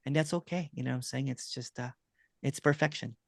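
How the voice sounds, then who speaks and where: A man speaking as a spiritual teacher in a relaxed conversation, often asking "right?" and "you know what I'm saying?". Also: medium pitch, a little fast, creaky, no room sound, studio quality. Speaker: non-duality teacher.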